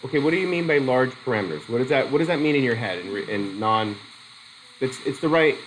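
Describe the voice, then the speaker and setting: A middle-aged man with a cold teaching a psychology methods class, halting and restarting as he questions students in a whiteboard classroom. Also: medium pitch, expressive, a bit bright, a little hiss or hum, poor audio. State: has a cold. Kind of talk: classroom lecture.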